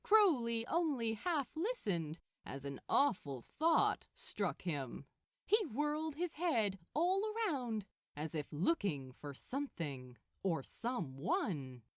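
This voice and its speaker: A woman reading a story aloud in an expressive storybook narration style. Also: fairly high pitch, takes it slowly, no room sound, studio quality. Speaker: fan fiction narrator.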